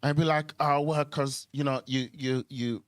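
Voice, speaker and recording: A man's voice: mid-range voice, steady tone, moderate pace. A man from Kenya doing conversational stand-up on a club stage microphone, hesitating and repeating words as he goes. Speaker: stand-up comedian. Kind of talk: live stand-up comedy, conversational storytelling with crowd work.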